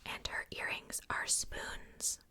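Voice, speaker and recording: A woman speaking in a soft, breathy whisper, chatting casually and unhurriedly in ASMR style close to a sensitive microphone. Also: slow pace. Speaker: ASMR video creator.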